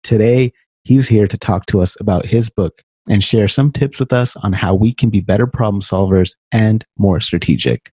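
A man's voice: on the low side, normal speed, warm tone, husky, no echo. A man hosting a podcast interview, reading out a formal guest introduction and asking friendly questions. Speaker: podcast host.

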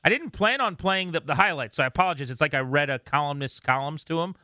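A man's voice: a little high, expressive, quick pace, sharp tone, no echo, clean studio sound. A man hosting a sports radio show in a casual, conversational talk style.

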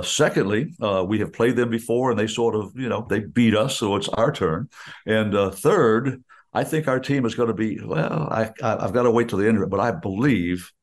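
An older man, a radio play-by-play announcer chatting in a folksy, rambling and animated way with lots of fillers. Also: lowish voice. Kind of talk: casual radio chat.

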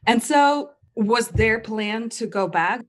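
A woman's voice: a little high, takes it slowly. A woman hosting an interview podcast, introducing the episode and asking curious, upbeat follow-up questions. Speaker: podcast host. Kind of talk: conversational interview.

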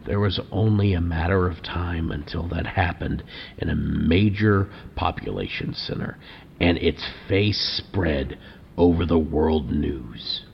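An actor playing a weary, defiant research doctor in an audio drama, gravelly and deliberate, with resignation in his delivery. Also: deep voice, muffled recording, a little hiss or hum. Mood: grim, resigned.

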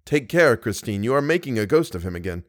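A man's voice: mid-range voice, big pitch swings, quick pace, natural voice. A man playing an ardent, jealous young suitor in an audiobook, questioning insistently and emphatically.

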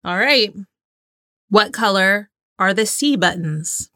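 A woman co-hosting a trivia game with casual, playful banter and a giggly, excitable manner. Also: medium pitch, slow pace, dry recording, clean studio sound. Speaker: trivia game show co-host and contestant.

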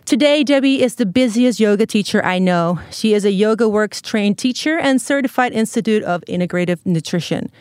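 A woman's voice: mid-range voice, animated, fairly quick, few pauses, a little mellow, clear, resonant tone, no echo, clean studio sound. Enthusiastic woman hosting a podcast. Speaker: podcast host. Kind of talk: podcast interview. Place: podcast studio.

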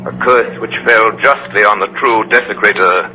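A man playing a mysterious, commanding crime avenger in a 1930s radio drama, speaking deliberately and accusingly. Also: fairly low pitch, slight background noise, low-quality recording. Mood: solemn. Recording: forceful, thin 1938 radio recording.

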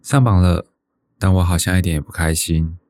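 A man's voice: deep voice, fairly even intonation, moderate pace, darkish tone, close to an everyday voice. An actor playing a wistful man in his early thirties with a Taiwanese accent, narrating reflectively in a radio drama. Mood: subdued. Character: wistful thirty-two-year-old man dreaming of life abroad.

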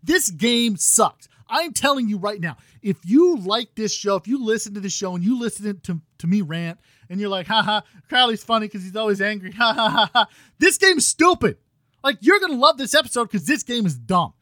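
Man, 30s, podcast host, hoarse, exasperated rant, slightly high voice. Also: animated, moderate pace, no room sound, clean studio sound. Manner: loud exasperated ranting, swings to quiet muttering. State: losing his voice. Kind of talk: ranting gaming podcast chat.

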